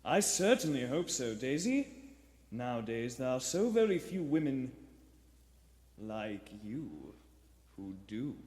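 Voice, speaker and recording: A man's voice: medium pitch, very animated, a little mellow. A man acting in a live vintage radio play as a secretive, pious lodger who preaches with menace and indignation. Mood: eerily pious. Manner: slow, sanctimonious, erupting into indignation. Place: theater stage before a live audience. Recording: hall reverb of a live theater.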